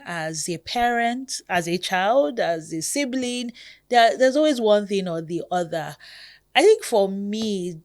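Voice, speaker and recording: Chatty Nigerian woman, low-ish voice. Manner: chatty, expressive storyteller who uses Nigerian expressions. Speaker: podcast host. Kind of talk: casual podcast monologue.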